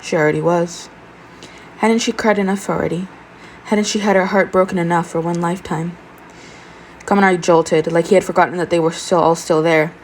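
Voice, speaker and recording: A woman narrates fan fiction in a slightly low voice. Her amateur storytelling is expressive, and the recording carries some noise behind her. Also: brisk.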